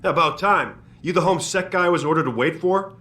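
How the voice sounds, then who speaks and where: Game side role: irritable medical examiner, chatty, brusque, hard bright edge to the voice. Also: male voice, on the high side, brisk, faint music behind. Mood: grumbling, brusque. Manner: brusque, grumbling. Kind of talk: video game voice acting.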